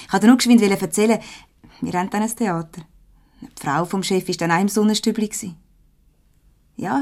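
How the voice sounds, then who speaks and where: An actress with a Swiss accent playing a chatty, easily exasperated young secretary in a radio comedy. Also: female voice, medium pitch, darkish tone, lightly acted. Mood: bubbly, chatty.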